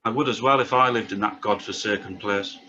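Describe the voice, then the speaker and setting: A man's voice: on the low side, brisk, pushed and bright, highs cut off, slight background noise. A man playing a crude, sarcastic oil rig worker in a table read of a drama script, needling his mates. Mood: wry.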